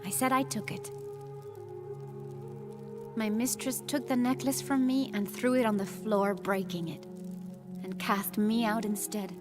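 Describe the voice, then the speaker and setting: A woman's voice: on the high side, fairly even intonation, unhurried, pauses often, close to an everyday voice. A woman playing a selfless, plain-spoken former midwife telling her story in video game dialogue. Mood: confessional. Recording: game music behind the voice. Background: music.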